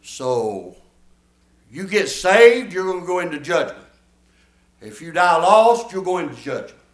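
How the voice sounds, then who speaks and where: An older man preaches from the pulpit, his voice pitched a little high. He is folksy and emphatic, putting questions to the congregation. The sound carries through an echoey church room. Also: sing-song, unhurried. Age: 70s. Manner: folksy, emphatic preaching with audience call-and-response. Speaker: pastor. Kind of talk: sermon.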